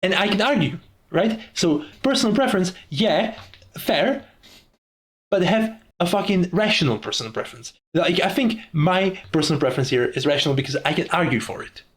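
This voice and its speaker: A man's voice: high voice, expressive, moderate pace. Young Czech-accented man ranting. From Czech Republic. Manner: profane, ranting, laughs often. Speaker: YouTuber. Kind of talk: casual monologue to camera.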